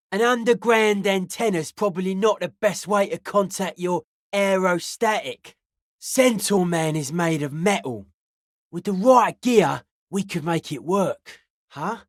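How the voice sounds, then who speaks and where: Young hardcore raver, high-pitched male voice, slangy, clipped, wary, wry, video game dialogue, dry studio sound. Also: no echo. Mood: keen, matter-of-fact.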